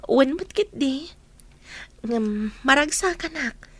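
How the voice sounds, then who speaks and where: High-voiced, tender young Ilocano woman. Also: expressive, slow pace, fairly bright tone, no echo, heavily acted. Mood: earnest, tender. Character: poor, unschooled, tender young elder sister in love. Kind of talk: Ilocano radio drama acting.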